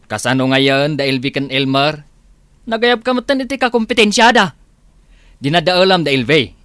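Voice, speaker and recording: Actor as earnest scolding husband, slightly high male voice, ilocano filipino accent, dry studio radio drama. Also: big pitch swings, moderate pace, a bit bright, smooth, dry recording. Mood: admonishing. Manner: admonishing, earnest. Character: reproachful, principled village husband scolding his wife.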